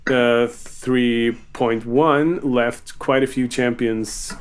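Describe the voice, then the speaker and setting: A man hosting a casual group Q&A, speaking in a halting, measured way. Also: mid-range voice, steady tone. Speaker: Q&A host reading fan questions, music video maker. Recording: noisy, sub-par online group chat audio.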